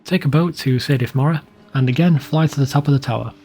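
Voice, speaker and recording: Man, game walkthrough narrator, soft dark tone, slightly rough voice, plain instructional delivery, informal. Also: medium pitch, quick pace, soft background music. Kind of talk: scripted guide narration.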